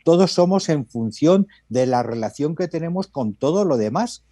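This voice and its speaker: A man, a talk-show guest, speaking in a measured, thoughtful way. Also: a little high, lively intonation, dark tone, no room sound, studio quality.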